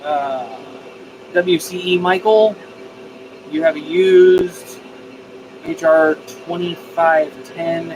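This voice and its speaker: Higher-voiced man livestreaming over background noise. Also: extremely slow, long pauses. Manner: hesitant, repeats and restarts phrases as he thinks aloud. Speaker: live streamer, radio hobbyist. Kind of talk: casual live stream chat, reading viewer comments.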